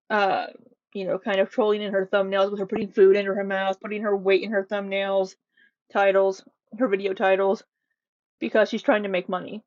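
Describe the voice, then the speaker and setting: A woman's voice: mid-range voice, steady tone, moderate pace, long pauses, darkish tone, no room sound. Woman commentary host, casual and opinionated delivery, mid-range voice, dry and slightly muffled recording.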